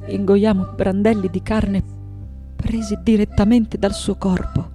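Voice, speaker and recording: A woman's voice: medium pitch, level tone, measured pace, faint music behind, lightly acted. An actress playing an elderly, defiant, vengeful woman sculptor. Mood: grim, haunted. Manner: intense, theatrical, swinging from hushed to loud. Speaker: actress in a radio play. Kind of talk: dramatic radio theatre monologue.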